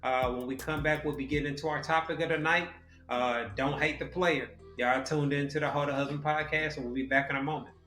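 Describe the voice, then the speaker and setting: A man's voice: a little high, brisk. A man hosting a casual podcast, talking loosely with plenty of fillers and restarts. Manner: loose, relaxed talk with many fillers and restarts. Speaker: podcast host. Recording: roomy echo with faint music.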